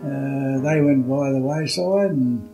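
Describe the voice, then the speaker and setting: An older Australian man with a rough, gravelly edge tells stories of the old days in a plain, laconic way. He halts now and then over fillers. Faint music sits under his voice. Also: medium pitch, slow, dark tone, muffled recording. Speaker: interviewee, retired forestry worker. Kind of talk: casual reminiscing.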